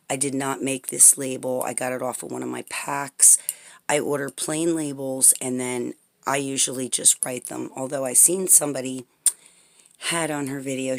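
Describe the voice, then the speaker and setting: Raspy woman chatting through a recipe. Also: rumbling low. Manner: chatty, rambling, frequent "okay?" check-ins. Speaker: herbal salve maker demonstrating a recipe. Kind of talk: casual how-to tutorial. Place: home, indoors at a tabletop. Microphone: camera pointed at the table, speaker off-frame.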